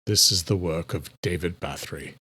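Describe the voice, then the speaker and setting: A man's voice: deep voice, normal speed, bright, forceful tone, breathy edge, voice pushed down, full character voice. A man voicing a commanding, vengeful vampire king in an audiobook, grave and authoritative. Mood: grim, vengeful. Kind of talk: dramatic audiobook character voice.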